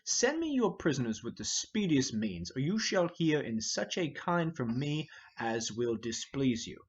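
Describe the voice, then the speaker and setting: A man's voice: medium pitch, sing-song, a little slow, breathy edge, muffled recording. A man reading the part of a stern, suspicious king in Shakespearean verse, commanding, accusatory and declamatory. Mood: angry, threatening.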